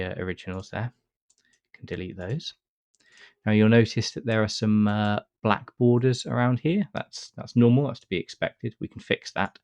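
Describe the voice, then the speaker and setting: Deep gravelly man with a stuffy cold. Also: no echo. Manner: relaxed step-by-step explaining with many uh fillers. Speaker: astrophotography tutor. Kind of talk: screen-recorded software tutorial.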